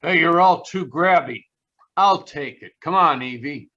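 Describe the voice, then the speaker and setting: Man playing a sharp-voiced menacing little crook. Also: fairly high pitch, measured pace. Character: menacing little male crook, older, sneering gunman. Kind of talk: 1940s radio noir villain acting. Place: home room, live video call performance. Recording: dull, lo-fi video call audio.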